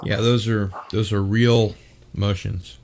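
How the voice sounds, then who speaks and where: Tired male livestream host with a low voice, slow and halting, full of fillers. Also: little pitch movement, darkish tone. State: tired from little sleep. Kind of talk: casual online panel chat.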